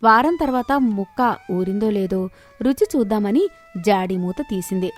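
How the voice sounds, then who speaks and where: A woman's voice: mid-range voice, expressive, moderate pace, faint music behind. A woman storyteller reading a scripted moral tale.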